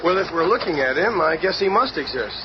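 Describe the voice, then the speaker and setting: A cocky young hero played by a man speaks eagerly in a fairly high voice. It is 1970s radio drama on a muffled, noisy recording. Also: lively intonation, a little fast, lightly acted.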